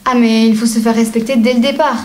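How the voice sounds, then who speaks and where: Woman, fairly high voice, knowing, echoey room, faint noise. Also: steady tone, fast, a little mellow. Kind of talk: acted comedy sketch.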